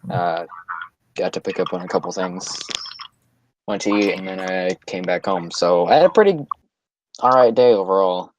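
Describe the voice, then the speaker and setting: Laid-back low-voiced man on voice chat. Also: normal speed, long pauses, noisy background. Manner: laid-back, matter-of-fact, with filler "uh" and "like". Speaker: voice call regular and tournament player. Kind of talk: casual chat.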